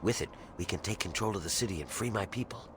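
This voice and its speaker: Man playing a deep-voiced, grim rebel slave. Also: fast, close to an everyday voice. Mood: grim, determined. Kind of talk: video game character dialogue. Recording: clear ambient noise behind voice.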